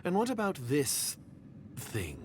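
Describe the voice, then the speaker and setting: An actor playing a wary, impatient secret agent in a video game, speaking in a guarded, curt, formal way. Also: male voice, on the high side, wildly swooping pitch, takes it slowly, heavily acted. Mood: suspicious. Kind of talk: video game character dialogue. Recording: game audio with clear background noise.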